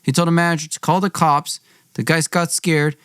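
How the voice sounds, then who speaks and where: A man's voice: fairly high pitch, fairly even intonation, normal speed, a bit bright, smooth, dry recording. Young man telling a dry, close-miked story. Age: young adult. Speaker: podcast host and storyteller. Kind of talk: dramatized personal storytelling.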